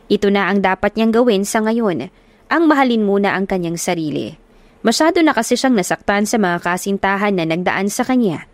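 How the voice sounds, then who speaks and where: A woman narrating a romance audiobook in a steady, earnest storytelling manner, recorded on a close mic. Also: medium pitch, no echo.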